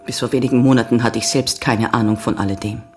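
An actress playing a grave young American woman giving measured, sombre testimony in a German radio crime drama. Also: very deep voice, steady tone, soft background music. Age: young adult. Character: grave young American woman recounting her father's betrayal. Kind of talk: German radio play acting.

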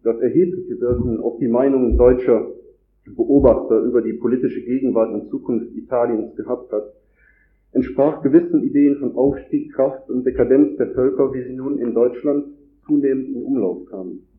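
A man with a cold gives a formal academic lecture at a conference, reading long, measured sentences from a script. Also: medium pitch. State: has a cold. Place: conference hall. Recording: echoey hall over a tinny, phone-like line.

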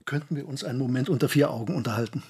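An embittered, anguished professor in a radio drama. His voice sits at a middle pitch with a husky grain. He talks in an insistent, defensive, rhetorical way. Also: very fast, darkish tone. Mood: hesitant, cautious. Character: anguished, embittered male German professor recounting his past. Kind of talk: radio drama narration and dialogue.

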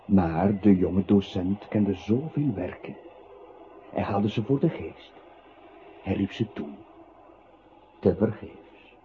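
Brooding slow narrator in an old radio play, low voice, thin muffled sound. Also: male voice, a little hiss or hum. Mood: solemn. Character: slow, brooding male narrator of a troubled composer.

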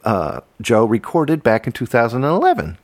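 A man hosting a casual podcast chat, talking in an excitable, jokey way. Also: mid-range voice, moderate pace, no room sound. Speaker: podcast host.

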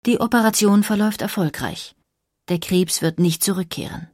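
A woman reading scripted biographical narration with measured, deliberate phrasing. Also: mid-range voice, darkish tone, dry recording, studio quality. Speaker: documentary narrator. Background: music.

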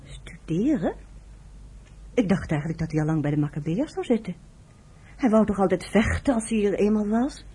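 A woman acting a composed, kindly American nurse in a Dutch radio play, speaking in a measured way. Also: medium pitch, expressive, mellow, slightly rough. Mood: curious.

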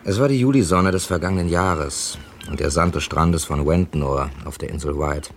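An actor playing a composed, discreet writer in his thirties in a 1970s radio crime drama, speaking in a measured, reassuring way. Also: low-pitched, slight background noise. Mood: matter-of-fact narration. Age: 30s. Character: composed, discreet male writer, thirty-six, narrator and confidant.

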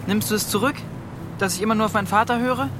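A man's voice: sits high, fast, music in the background, lightly acted. A young male actor playing a teasing, evasive teenage boy in a radio drama, casual and youthful in his talk. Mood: eager, teasing.

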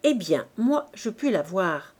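A woman's voice: mid-range voice, big pitch swings, slow pace, darkish tone, slightly rough, heavily acted. A woman reading aloud voices a haughty, bossy shopkeeper woman who speaks in a cutting, commanding way. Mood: spiteful.

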